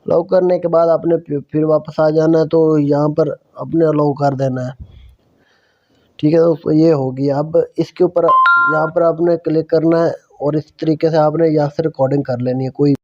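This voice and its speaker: Flat-toned man giving a tutorial, muffled sound. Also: a little high, normal speed. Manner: repetitive, step-by-step explaining. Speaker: tutorial host. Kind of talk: casual app tutorial narration.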